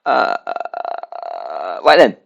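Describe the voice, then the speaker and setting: A man's voice: extremely slow, background noise. A man hosting his own podcast alone, rambling and correcting himself in a goofy, playful way, with a blocked, sniffly nose. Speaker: podcast host. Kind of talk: casual solo podcast rambling.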